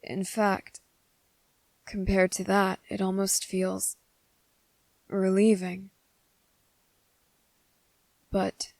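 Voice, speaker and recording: A woman voicing a young, devoted and possessive male vampire in an ASMR roleplay. She speaks slowly and softly, close to the microphone, and slips into whispers. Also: on the low side, no room sound. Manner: slow, soft-spoken and intimate, slipping into whispers.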